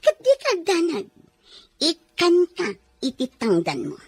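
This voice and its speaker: A woman's voice: very high-pitched, huge pitch swings, a little slow, no room sound, heavily acted. A woman acting as a scheming, commanding rich mistress who threatens her nanny in a drama. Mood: menacing, commanding. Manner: menacing, commanding. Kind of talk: Ilocano radio-style drama acting.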